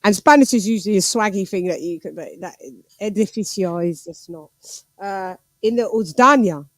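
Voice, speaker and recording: A woman hosting a casual online chat, playful and quick to laugh. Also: medium pitch, no room sound. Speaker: host.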